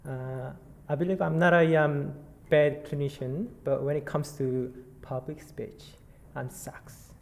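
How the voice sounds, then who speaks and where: A man with a Korean accent giving a conference talk, halting and self-deprecating with many fillers. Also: on the high side, fairly even intonation, creaky. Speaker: nurse presenter. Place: conference hall. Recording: reverberant hall, faint background ambience.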